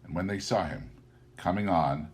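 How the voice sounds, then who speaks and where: A man reading a classic story aloud as a narrator, with deliberate, theatrical and emphatic phrasing. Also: deep voice, animated. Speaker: audiobook narrator.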